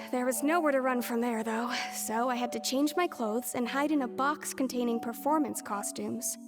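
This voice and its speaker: A woman's voice: fairly high pitch, moderate pace, natural voice. An actress playing a nervous young woman thief, earnestly confessing and pleading her innocence, over game music. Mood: anxious. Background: game score music.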